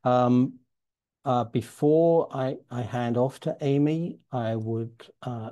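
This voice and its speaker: Casting: man with a mellow, dark tone, halting moderator introducing a speaker on a video call. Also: medium pitch. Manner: halting, many fillers and restarts. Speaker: webinar moderator.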